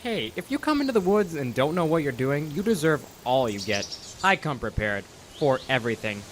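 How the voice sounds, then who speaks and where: A man's voice: on the high side, sing-song, brisk, a little hiss or hum. A man acting a cocky, dismissive, joking repairman in an audio horror drama.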